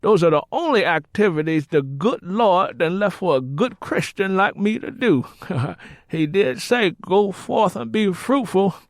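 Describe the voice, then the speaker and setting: Boisterous Southern drinker, pitched high. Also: male voice, animated, measured pace, no room sound, studio quality. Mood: mocking, gleeful. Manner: irreverent, folksy, drawling banter. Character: boisterous, mocking, hard-drinking Southern husband. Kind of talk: audiobook character acting.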